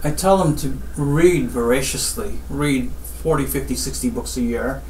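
A middle-aged man from Guyana, a surgeon giving advice in an interview in measured, deliberate short phrases with pauses. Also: medium pitch, a little mellow. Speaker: spine surgeon, interview guest. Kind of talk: interview answers, health advice. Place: small office, seated at desk. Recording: roomy, distant mic, faint background noise.

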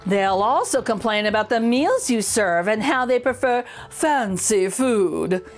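Bright, high-voiced woman, middle-aged, animated picture-book reader, soft music behind. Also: a little slow. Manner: sing-song, exaggerated storytelling voice. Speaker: children's book reader, channel host. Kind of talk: expressive picture-book read-aloud.

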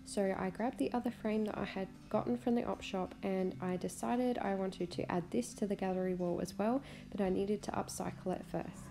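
A young woman with a cold-hoarse voice, chatting casually as she walks through a home DIY project. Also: mid-range voice, moderate pace, few pauses. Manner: chatty, explains steps as she works. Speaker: DIY vlogger. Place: small home rooms, nursery. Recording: echoey room with guitar music behind.

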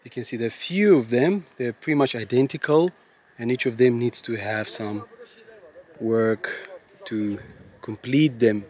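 A man's voice: medium pitch, a little slow, darkish tone. A man, hosting a travel vlog on a foggy mountain trail and explaining the sights in earnest, to a camera held at arm's length. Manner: earnest, explanatory, speaks English with non-native phrasing. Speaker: travel vlogger host. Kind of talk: walking travel vlog narration. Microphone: handheld camera at arm's length.

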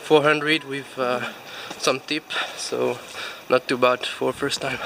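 A man's voice: medium pitch, level tone, slow. Chatty man on a noisy street. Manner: chatty, laughs often, loose non-native English. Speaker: vlog host. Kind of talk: casual restaurant review chat. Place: city street outdoors. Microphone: phone at arm's length selfie. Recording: clear street noise behind. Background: traffic and street ambience.

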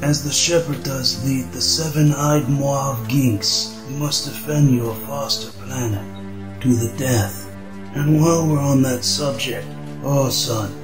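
A man's voice: medium pitch, natural voice. Man as a echoing, solemn alien father. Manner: grandiose, portentous. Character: solemn, ponderous alien father speaking from a glowing crystal. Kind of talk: parody of epic film speech, comic dub. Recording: echoing voice over loud music.

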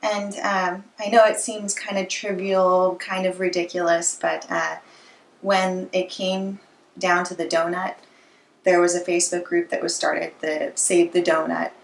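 A woman in her twenties with an American accent hosts a casual interview. Her voice sits a little low for a woman, and the living room gives it a clear echo. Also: little pitch movement, unhurried. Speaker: interviewer, host. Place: living room with books, indoors. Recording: roomy, echoey living room.

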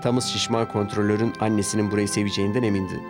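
A man's voice: on the low side, flat delivery, rapid-fire. A man narrating a scripted children's story as the storyteller. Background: background music.

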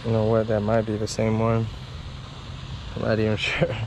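Excitable man fishing outdoors, noisy camera audio. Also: fairly low pitch, moderate pace. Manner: excitable, talks to viewers. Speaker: fishing vlogger. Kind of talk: casual running commentary while fishing. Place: outdoors at a park pond. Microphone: head-mounted action camera.

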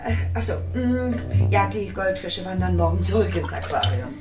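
A woman's voice: medium pitch, lively intonation, normal speed, lightly acted. An actress playing an eccentric, defiant, free-spirited mother in a children's radio play, with a theatrical, flippant manner. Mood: mock-proper. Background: music.

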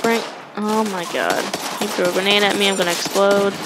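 A woman's voice: mid-range voice, fairly even intonation, a little fast, very noisy. A young woman gaming streamer telling a rambling story as she plays, swearing and correcting herself. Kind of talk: casual storytelling over gameplay. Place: home room, webcam. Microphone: headset.

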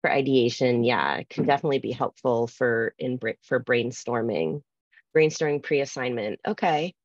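A middle-aged woman presenting an informal online workshop, thinking aloud in a halting way as she reads out responses. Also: very deep voice, dry recording. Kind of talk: informal conference workshop talk, reading out poll responses. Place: video call from a room with bookshelves. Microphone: computer mic on video call. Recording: slightly dull video-call audio.

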